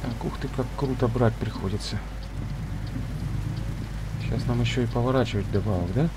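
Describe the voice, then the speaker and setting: Hoarse man chatting over a driving game. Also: medium pitch, normal speed, very noisy. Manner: chatty, thinking aloud while driving. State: hoarse, off voice today. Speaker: gameplay video creator. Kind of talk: casual let's-play commentary.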